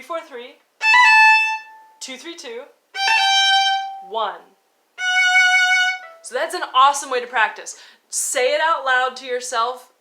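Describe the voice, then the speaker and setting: A woman giving an instructional violin lesson, coaching informally and encouragingly as she explains and counts out fingerings. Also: high voice. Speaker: violin teacher. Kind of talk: instructional music lesson, talking and demonstrating.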